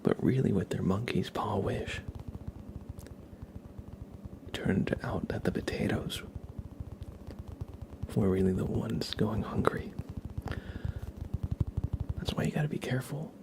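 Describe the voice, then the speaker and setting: A man whispering slowly and playfully in an ASMR roleplay style, telling absurd stories with a straight face. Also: lowish voice, poor audio. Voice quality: breathy whisper with creaky edges. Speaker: ASMR livestream host.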